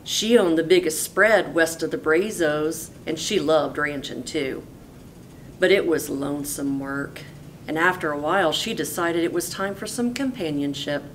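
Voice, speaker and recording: Lady teacher reading a kids story, lowish voice, folksy, rumbly noise behind. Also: unhurried. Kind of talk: expressive storybook read-aloud. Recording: noisy with low rumble.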